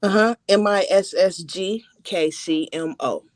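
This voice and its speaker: A woman's voice: mid-range voice, measured pace, no room sound. Woman, auditioning performer, casual chat, short replies, polite, agreeable, dry close recording.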